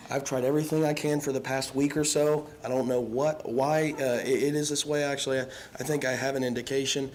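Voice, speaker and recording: Hoarse young man preaching a sermon. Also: medium pitch, fairly even intonation, slight background noise, rough recording. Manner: earnest, halting, many ums and restarts. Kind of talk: evening sermon with casual asides. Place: church sanctuary.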